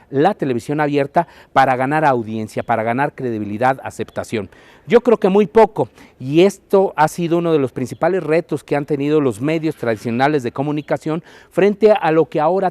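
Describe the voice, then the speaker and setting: A man with a Mexican Spanish accent giving prepared media commentary in a measured, explanatory way. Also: mid-range voice, a little mellow, no room sound.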